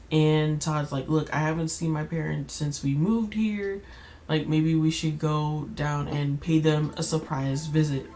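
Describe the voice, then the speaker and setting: A young woman with a slightly raspy voice, chatting casually while playing a life-sim game, rambling with many fillers and restarts. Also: low-pitched, a little slow, dark tone. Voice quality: slightly raspy, keeps clearing throat. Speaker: gaming YouTuber. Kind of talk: casual gameplay commentary.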